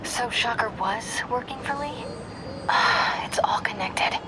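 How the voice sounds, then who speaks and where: A hushed young sneak. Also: female voice, on the high side, slow pace, whispered, low-quality recording. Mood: guarded, hushed. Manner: hushed, clipped. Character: guarded, quick-witted young woman, sneaking around. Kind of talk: video game voice acting.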